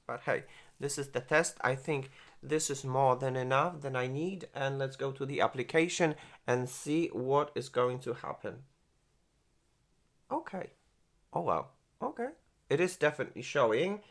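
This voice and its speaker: A man in his forties, a beauty vlogger reviewing makeup, who gushes and exclaims often and speaks in halting phrases with many restarts. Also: mid-range voice. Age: 40s. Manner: gushing, exclaims and laughs often. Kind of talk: product review and makeup tutorial.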